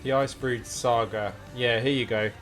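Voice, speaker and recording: A man with a medium-pitched voice chats casually while streaming a game. He thinks aloud, reads menus out and puts questions as he goes, with guitar music playing behind him. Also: fairly even intonation, normal speed, a bit bright. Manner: thinking aloud, questioning, reading menu items out.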